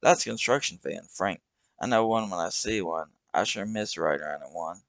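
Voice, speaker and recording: A man's voice: sits low, moderate pace, creaky, no echo. A man voicing a crude old homeless man in a dry, unbothered way, close to his own voice. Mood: wistful.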